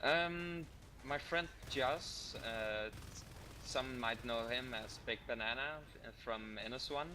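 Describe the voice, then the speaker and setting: A young Danish man with a bright, forward voice talks casually as a guest, pausing often on ums and uhs. His English has a Danish accent. A faint hiss sits under the recording. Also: mid-range voice. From Denmark. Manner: hesitant, many ums and uhs. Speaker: guest gamer. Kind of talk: casual interview chat.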